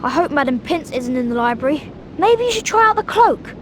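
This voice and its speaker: A young schoolgirl witch from a video game. Her high voice moves up and down with earnest, bossy energy. She is brisk, bookish and precise. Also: sing-song, a little hiss or hum. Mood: earnest, conspiratorial.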